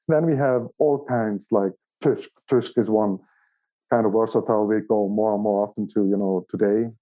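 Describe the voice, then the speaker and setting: A man from Iceland with an Icelandic accent, answering casually as an interview guest in a halting way, repeating words as he searches for phrasing. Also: lowish voice. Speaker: interview guest, company operations director. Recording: thin phone-like call audio.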